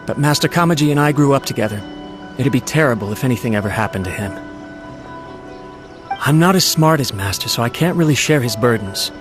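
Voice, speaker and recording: A man's voice: mid-range voice, animated, fairly quick, natural voice. A man playing a nervous, loyal servant in a video game, speaking in a deferential, hesitant and anxious way. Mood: worried. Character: nervous, humble, loyal male servant of noble clan. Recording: game music playing behind the voice.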